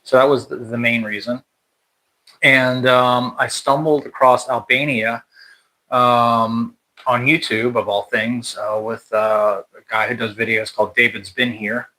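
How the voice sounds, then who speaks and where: A man's voice: medium pitch, little pitch movement, measured pace, sharp tone, low-quality recording. An American man hosting a casual livestream chat, talking in a rambling way with many restarts and fillers. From United States. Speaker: channel host. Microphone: livestream mic.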